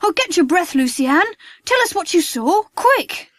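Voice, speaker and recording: A man voicing adventurous schoolchildren in an audiobook story, with an earnest, excitable manner. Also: extremely high pitch, normal speed, no room sound, voice pushed up, exaggerated voice. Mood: urgent, excited.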